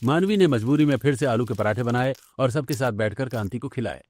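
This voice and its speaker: A man's voice: mid-range voice, fairly quick, darkish tone. A man narrating a scripted domestic story for a cartoon.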